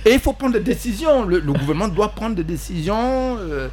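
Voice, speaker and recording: Man from central african republic, high voice, halting, repeats words, faint noise behind. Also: sing-song. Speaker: politician guest, party president. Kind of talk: panel talk show debate.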